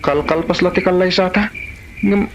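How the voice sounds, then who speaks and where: Man acting higher-voiced affectionate Ilocano husband. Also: moderate pace, a little hiss or hum. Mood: tender, puzzled. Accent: Filipino, Ilocano. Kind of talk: amateur comedy drama acting. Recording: dull lo-fi audio, music behind.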